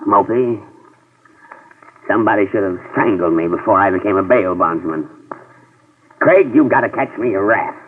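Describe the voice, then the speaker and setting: A man's voice: on the low side, lively intonation, moderate pace, long pauses, slightly rough. An actor playing a worried, whining middle-aged bail bondsman in a 1950s radio drama. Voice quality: whining, pleading. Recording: tinny lo-fi old radio broadcast.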